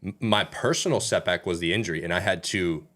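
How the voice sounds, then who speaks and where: Deep-voiced Danish-born man, chatty podcast co-host. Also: fairly quick, a bit bright. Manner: loose and talkative, lots of "you know" fillers. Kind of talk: casual chat.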